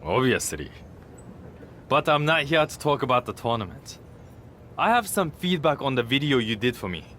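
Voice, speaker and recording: A cocky young male wrestler from a video game story, vain and self-absorbed. His mid-pitched delivery swings expressively with smug dismissiveness. There is some noise behind him. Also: big pitch swings, normal speed, long pauses, fairly bright tone. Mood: smug, critical. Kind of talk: video game cutscene acting.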